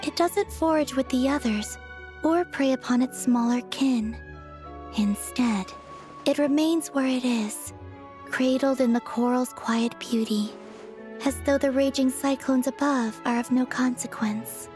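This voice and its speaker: A woman voicing a serene, wistful young woman with a gentle, dreamy manner in an anime video game. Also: sits high, measured pace. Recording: clear music underneath. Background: background music.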